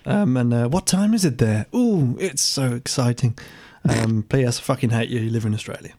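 A man's voice: mid-range voice, normal speed, warm tone. Man, podcast host, rambling, swearing, tipsy, laughing a lot. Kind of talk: casual banter with co-hosts.

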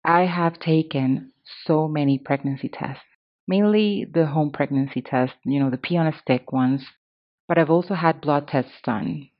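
A woman's voice: low-pitched, a little slow. Woman podcaster, dull muffled audio. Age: 30s. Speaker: podcast host. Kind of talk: scripted podcast narration with personal commentary.

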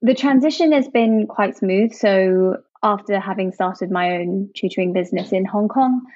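A woman's voice: mid-range voice, moderate pace, a little mellow, no echo. A young woman from Jersey, a product manager and interview guest, answering upbeat and chatty with lots of "actually" and "you know". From Jersey, Channel Islands. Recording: dull, dry sound.

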